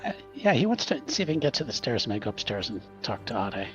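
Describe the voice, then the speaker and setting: A man's voice: mid-range voice, big pitch swings, fast talker, dull audio, faint music behind. A man casually narrating his character's actions as a player in a tabletop game.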